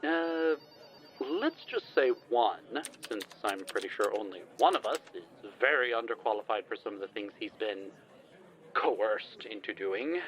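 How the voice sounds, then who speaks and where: A man's voice: lowish voice, lively intonation, a little slow, fairly bright tone. A man playing a wary, sardonic reluctant adventurer in an audio drama, with hesitant, dry, formal wording. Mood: wry, defensive. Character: wary, sardonic man reluctantly dragged into dangerous paranormal missions. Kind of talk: audio drama phone-call acting. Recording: dull lo-fi sound, typing.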